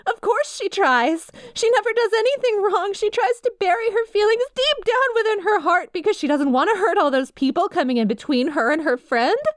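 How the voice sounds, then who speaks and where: A high, sweet voice of a lovesick young woman played by an actress in an intimate ASMR roleplay. She is nervous and clingy, swinging between tender pleading and quiet menace. The sound is very dry, with no room around it. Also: lively intonation, normal speed, few pauses, dry recording. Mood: strained, resentful.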